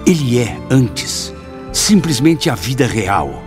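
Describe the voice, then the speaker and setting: A man's voice: medium pitch, very animated, takes it slowly. An actor playing the anguished spirit of a man who took his own life, narrating a drama in solemn, florid declamation. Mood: grave, reflective. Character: anguished male spirit of a suicide recounting his torment. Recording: voice under loud music.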